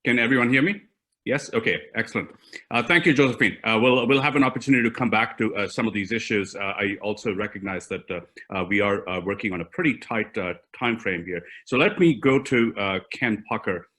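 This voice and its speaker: A man moderating a live panel over a video call, halting and often repeating words. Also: on the low side, sharp tone.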